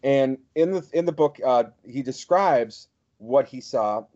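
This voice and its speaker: A man with a warm, relaxed voice of medium pitch co-hosts a podcast. He speaks in a casual, conversational way. The audio sounds somewhat dull and lo-fi. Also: unhurried. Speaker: podcast co-host. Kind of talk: casual discussion, reading excerpts aloud.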